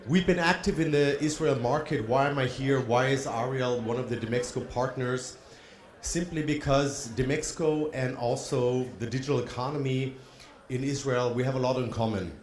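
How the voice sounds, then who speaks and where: A man's voice: mid-range voice, a little mellow, echoey room. German-accented man presenting at a conference. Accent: German-tinged English. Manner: upbeat, addresses the audience with questions. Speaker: conference speaker. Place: conference hall.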